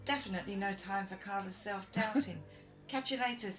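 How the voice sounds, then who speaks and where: A woman playing a loyal, cheeky friend who is always rushing, brisk, blunt and teasing, in an audio roleplay. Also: mid-range voice, a bit bright, faint music behind, lightly acted.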